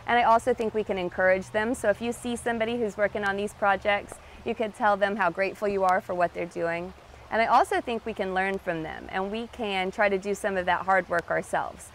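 A young woman speaks simply and encouragingly, as if to children, at an unhurried pace. She is recorded outdoors, and a faint outdoor hum sits behind her voice. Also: mid-range voice, fairly even intonation. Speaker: children's time leader. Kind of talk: gentle talk to children. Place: outdoors by a brick wall.

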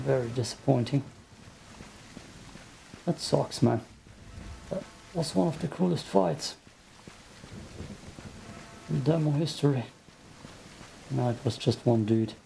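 Austrian-accented man, let's play commentary, very gravelly, dark mellow tone, faint background hiss. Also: mid-range voice, low-quality recording. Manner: chatty, muttering to himself, frustrated asides. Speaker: gameplay streamer.

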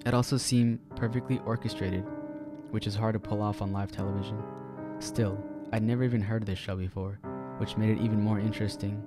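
A man narrating a horror story in a calm, measured storytelling voice. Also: fairly low pitch, over loud music.

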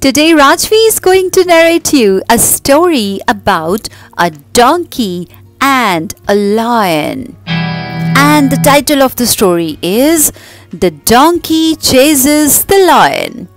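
Woman, children's storyteller, very animated swooping pitch, very slow pace, exaggerated delivery, faint music behind. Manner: exaggerated, addresses children directly. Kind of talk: expressive scripted story narration for children.

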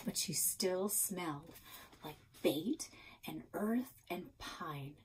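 A woman's voice: lowish voice, lively intonation, slow, noisy background. A middle-aged woman reading a children's novel aloud as a storyteller, recorded in a small office at about arm's length.

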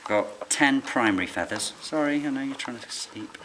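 A middle-aged man, a licensed bird ringer, calmly explaining his fieldwork while handling birds in a farm barn. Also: medium pitch, moderate pace. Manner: calm, quiet, hands-on explaining with fillers. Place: open farm barn on straw, outdoors air. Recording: noisy outdoor camera recording.

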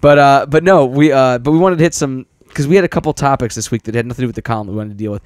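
A man's voice: mid-range voice, clean tone, no echo. Teasing young guy, dry sound. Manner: joking, teasing, quick to do voices. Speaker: podcast co-host and columnist. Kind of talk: casual comedy podcast chat.

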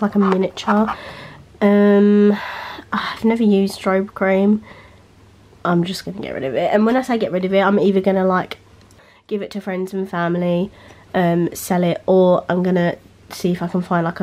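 A woman's voice: mid-range voice, a little mellow, a little hiss or hum. A young woman, a beauty vlogger, chatting casually with lots of fillers while filming handheld in her bedroom, sounding nasal after being ill. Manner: rambling, filler-heavy, chatty. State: nasal, recovering from illness. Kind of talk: casual chat. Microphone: handheld camera, freehand.

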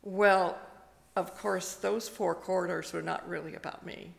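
An older woman, a retired pastor, preaches slowly and gently, telling a personal story with pauses, at a pulpit microphone in a church. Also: lowish voice, steady tone, creaky. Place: church sanctuary, indoors. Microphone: stand microphone at a pulpit. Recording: long reverb of a church hall.

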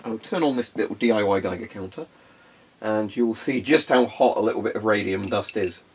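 A young man with a low, congested voice chats his way through a hands-on demonstration, often pausing on ums. He sounds stuffed up with a cold. Quiet clicking ticks along behind him in the carpeted room. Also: a little hiss or hum. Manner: chatty, rambling, frequent ums. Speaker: hobbyist video host.

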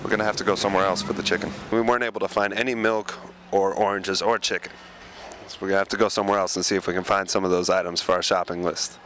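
A man's voice: low voice, level tone, quick pace. A man in his thirties hosting a mock news report with a handheld mic, outdoors on a city street. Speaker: host reporter. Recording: loud street noise behind.

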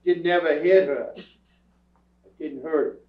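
A man playing a defensive, self-pitying, streetwise bum under questioning in a staged radio crime drama, protesting in halting, ungrammatical speech. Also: on the high side. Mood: wounded, pleading. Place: theatre stage before an audience. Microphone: far camera. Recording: roomy theatre stage from far off, muffled.

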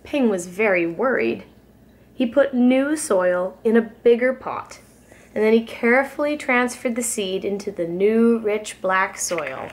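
Young woman reading a picture book slowly. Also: a little high. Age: young adult. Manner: expressive, careful storytelling to small children. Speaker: preschool teacher reading aloud. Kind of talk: children's picture book read-aloud.

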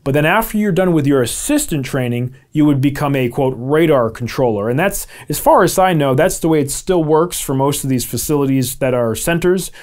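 A man's voice: on the high side, expressive, fairly quick, hardly any pauses, a little mellow. An American man in his thirties from New York State, presenting an informal, conversational explainer and leaning on key terms. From Albany, New York, USA. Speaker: video presenter. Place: small home office, indoors.

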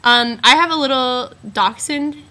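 A woman's voice: sits high, unhurried, bright, forceful tone. A teenage girl from Arizona co-hosting a casual webcam chat at home, teasing and giggly. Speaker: co-host. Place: bedroom at home, indoors. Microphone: built-in laptop webcam mic.

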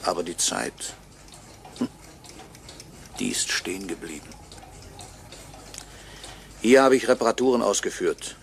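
A dead clock repairer who narrates his own murder in a radio play. His low voice moves slowly, measured and sardonic, with a resigned bitterness, on a faintly noisy fifties recording. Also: lively intonation, gravelly. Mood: brooding, bitter. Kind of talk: 1950s radio play monologue.

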